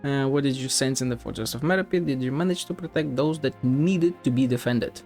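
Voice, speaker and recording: A man's voice: mid-range voice, a little fast, darkish tone. A male game streamer with a mid-range voice talks casually as he plays. He thinks aloud in a sardonic, sweary way, with faint music behind him. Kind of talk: casual play-by-play game commentary.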